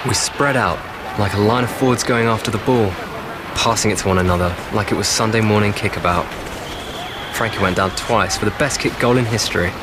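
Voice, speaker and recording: Low-voiced young British soldier, battle noise behind male actor. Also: a little fast, close to an everyday voice. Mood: stirred. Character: young British WWI infantryman recalling battle, awed and rueful. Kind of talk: audio drama monologue. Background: artillery fire, outdoor ambience.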